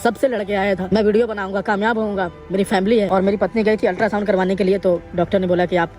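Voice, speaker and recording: A man's voice: high voice, steady tone, quick pace, darkish tone, soft background music. A man talking to his vlog audience in an earnest, plaintive way. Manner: earnest, plaintive, appeals to viewers. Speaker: family vlogger. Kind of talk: emotional vlog talk to camera.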